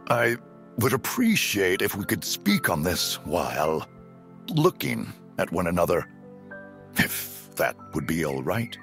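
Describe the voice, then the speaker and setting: A man playing an earnest young noble suitor in an audio drama, speaking gently and formally. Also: deep voice, wildly swooping pitch, takes it slowly, vocal fry, exaggerated voice. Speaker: voice actor. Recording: over soft background music.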